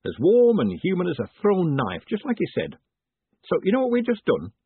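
A small, bird-like town mayor from a storybook, played by a male narrator, sounds eager and nervous, with folksy, repetitive turns of phrase. His pitch jumps about and the voice is husky. The sound is narrow, like a phone line. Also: wildly swooping pitch, normal speed, no room sound, heavily acted. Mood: indignant, conspiratorial. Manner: chatty, repetitive folksy phrasing with tag endings. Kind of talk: audiobook character dialogue. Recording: muffled, thin phone-like sound.

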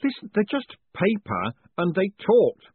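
A man's voice: mid-range voice, big pitch swings, moderate pace, breathy edge, no room sound. A man voicing a shaken, protesting con-man in a fantasy audiobook, urgent, faltering and exasperated. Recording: muffled phone-like audio.

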